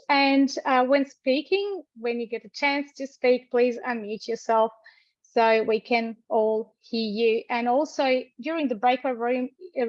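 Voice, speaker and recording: A woman's voice: on the high side, dry recording. Woman on a dull video call. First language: Croatian. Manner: friendly, halting, with many restarts and fillers. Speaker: university lecturer hosting a webinar. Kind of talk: webinar housekeeping and introductions.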